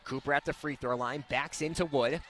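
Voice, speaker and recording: A young man calling live basketball play-by-play as a radio announcer, with an excitable delivery that swells on big plays. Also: medium pitch, brisk. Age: young adult. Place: courtside at indoor basketball game.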